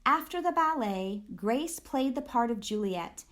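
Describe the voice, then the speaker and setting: A woman reading a children's picture book aloud as an expressive storyteller. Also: fairly high pitch, unhurried. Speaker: storybook reader.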